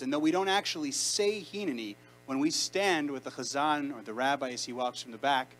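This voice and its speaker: A man's voice: mid-range voice, fairly bright tone, slight background noise, poor audio. A man around thirty from Canada, a rabbi preaching a conversational sermon into a handheld mic in a large sanctuary. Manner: conversational, emphatic preaching with deliberate pauses. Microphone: handheld mic, far camera.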